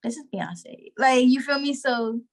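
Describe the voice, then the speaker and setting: A woman's voice: sits high, expressive, a little slow. A young woman talking in a casual interview, chatty and slangy with lots of "like". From Trinidadian background. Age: young adult. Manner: chatty, slangy, full of "like" and laughs. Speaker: guest, show creator.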